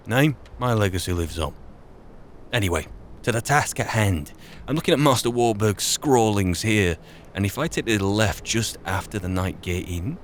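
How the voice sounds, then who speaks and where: Game roleplay, man as weary adventurer mage: low register, dry, musing, grumbling, faint ambience behind. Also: a little hiss or hum, lightly acted. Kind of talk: in-character game roleplay narration.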